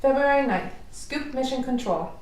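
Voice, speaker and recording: A woman's voice: sits high, lively intonation, normal speed, a little mellow, a little hiss or hum. A woman giving scripted narration for an audio drama, heard from a distance in a very echoey space. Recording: very echoey, distant, faint music.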